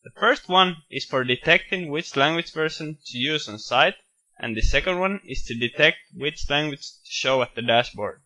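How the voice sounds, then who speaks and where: A man walking through a software screencast, explaining each step in a steady, matter-of-fact way with bits of enthusiasm. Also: on the high side, normal speed. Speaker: software tutorial presenter. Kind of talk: screencast walkthrough narration.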